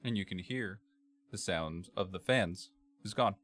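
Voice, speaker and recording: A man with a bass-heavy voice narrating a software tutorial in a measured, step-by-step way as its presenter. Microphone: computer microphone, screen-capture voice-over.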